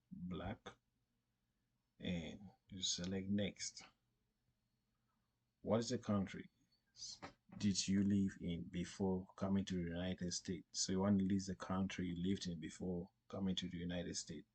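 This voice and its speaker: A man with a deep voice presents a step-by-step tutorial. His delivery is slow and halting, circling back to repeat instructions. The tone is a little rough and unclear at the edges. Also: darkish tone. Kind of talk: step-by-step screen tutorial narration, unscripted.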